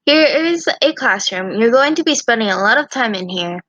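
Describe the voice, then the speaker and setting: Slightly high-voiced woman reading dialogue, close and dry. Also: animated, normal speed, sharp tone, no echo, dull audio. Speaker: reader voicing female characters in a fan visual novel. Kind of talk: amateur character dialogue reading.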